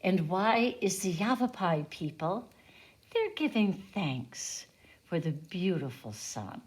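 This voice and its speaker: A woman teacher reading a picture book aloud to young children, deliberately, adding simple explanations as she goes. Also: lowish voice, big pitch swings, darkish tone.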